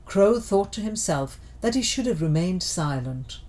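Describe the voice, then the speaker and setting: A woman's voice: on the low side, expressive, unhurried, darkish tone. A woman narrating a scripted children's folk tale as a storyteller.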